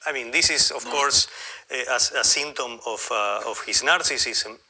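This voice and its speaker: A man from Argentina with an Argentine Spanish accent, giving a spontaneous academic lecture in a halting, discursive way with frequent self-corrections. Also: medium pitch, bright, forceful tone, breathy edge. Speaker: guest lecturer, academic historian.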